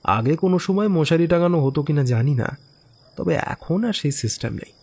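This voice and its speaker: A man narrating a first-person horror story in an expressive, theatrical way, with musing asides and exclamations. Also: on the high side, normal speed, slightly rough. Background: background music.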